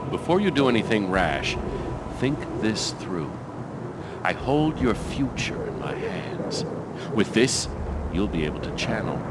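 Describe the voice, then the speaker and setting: A man's voice: lowish voice, very noisy. An actor playing a menacing, scheming male villain in a video game cutscene, with a theatrical manner. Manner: taunting, persuasive, theatrical. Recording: loud music under the voice. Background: cinematic game music.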